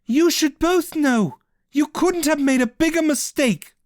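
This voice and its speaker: A storyteller voicing a feverish young woman mage who speaks in a sharp, strained, defiant way. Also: high voice, sing-song, a little slow, no echo, clean studio sound, pitched above the performer's own voice. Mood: grave.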